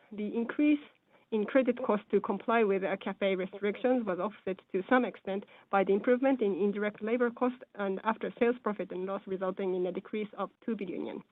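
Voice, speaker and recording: A woman giving a formal, scripted financial presentation in a measured, businesslike way over a conference call. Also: mid-range voice, no room sound. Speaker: presenter on an earnings call, speaking as or interpreting for a company executive. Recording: phone-like narrow-band conference call line.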